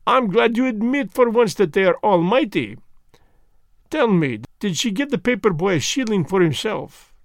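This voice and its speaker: A man's voice: high-pitched, lively intonation, moderate pace, no room sound, clean studio sound, voice pushed up. A man reading the part of a fussy, vain elderly Belgian detective who speaks precisely and drops French words. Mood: placid reasoning.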